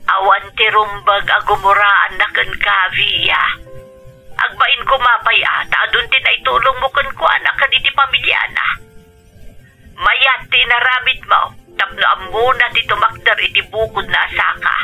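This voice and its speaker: A reassuring older mother in an Ilocano radio drama. The voice is gravelly and very sharp, yet tender and soothing, with an Ilocano accent and music behind. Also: mid-range voice, steady tone, normal speed, rough recording. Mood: consoling. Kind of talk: Filipino radio drama acting.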